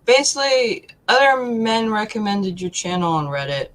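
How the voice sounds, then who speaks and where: A woman, answering casually and haltingly as a talk show guest. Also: mid-range voice, big pitch swings, rough recording. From Poland. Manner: hesitant, halting.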